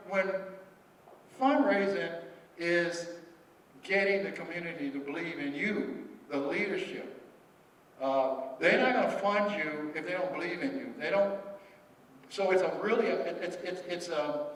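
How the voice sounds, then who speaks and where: A man's voice: fairly high pitch, a bit bright. Guy running a public meeting, keeps restarting his words, heard from far off in a roomy hall. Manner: repeats words, halting restarts. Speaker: meeting presenter. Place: large echoey meeting hall. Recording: echoey hall audio from far off, faint noise.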